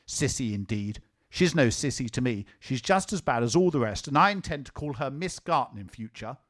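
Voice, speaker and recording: A man's voice: medium pitch, lively intonation, moderate pace, a bit bright, close to an everyday voice. A man voicing a stubborn, sulky little girl who speaks sharply and scornfully. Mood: scornful, defiant.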